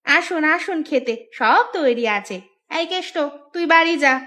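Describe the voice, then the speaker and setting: A woman's voice: high-pitched, expressive, unhurried, pushed and bright, heavily acted. A woman voicing a scheming village housewife in a cartoon, coaxing and insistent. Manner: wheedling, insistent. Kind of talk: cartoon voice acting.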